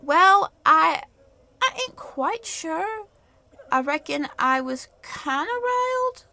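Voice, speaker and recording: A woman's voice: extremely high pitch, animated, takes it slowly, bright, forceful tone, a little hiss or hum, heavily acted. A woman playing a rambling, folksy farm woman in a 1930s-style radio play, with rustic turns of speech. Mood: riled, eager.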